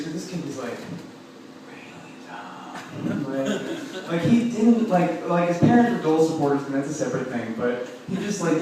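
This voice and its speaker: Rambling, swearing young stand-up comic. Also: male voice, on the high side. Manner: rambling, self-mocking, heavy filler words and swearing. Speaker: stand-up comedian. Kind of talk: stand-up comedy set. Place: comedy club stage. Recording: echoey room recorded from a distance with noise.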